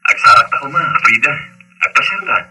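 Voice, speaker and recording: An actor with an Ilocano accent playing a friendly suitor in a radio drama. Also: male voice, fairly high pitch, very animated, fairly quick, very noisy. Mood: inviting.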